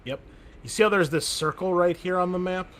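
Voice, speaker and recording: A man running a tabletop game as game master, talking in halting, stammering bursts. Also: sits high, a bit bright.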